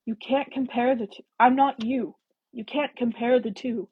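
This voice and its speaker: A woman voicing an exasperated, insistent gentleman in a Regency romance audiobook, testy and persuasive in manner. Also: on the high side, steady tone, moderate pace, slightly rough, muffled recording, pitched above the performer's own voice. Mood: reasoning, decided.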